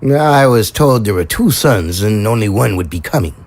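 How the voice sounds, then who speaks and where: Man as husky, animated, formal chauffeur. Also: on the low side, normal speed. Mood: proper, informative. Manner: ornate, polite, formal. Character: formal, courteous, knowledgeable male chauffeur and guide. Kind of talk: audio drama acting.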